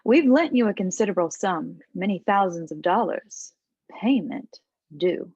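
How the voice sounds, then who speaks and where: A woman's voice: medium pitch, animated, moderate pace, a little mellow, breathy edge, no echo, highs cut off. A woman playing an arrogant aristocratic mother in her sixties, speaking with polished menace in a comedy table read. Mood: coolly threatening. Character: arrogant aristocratic sixty-ish mother, steely, conniving, falsely polite.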